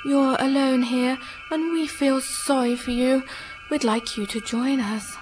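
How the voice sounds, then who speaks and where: Radio drama: serene moon spirit woman, played by an actress, high register, softly coaxing and alluring, light music underneath. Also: steady tone, low-quality recording. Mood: gently coaxing. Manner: gentle, coaxing, unhurried. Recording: music behind the voice.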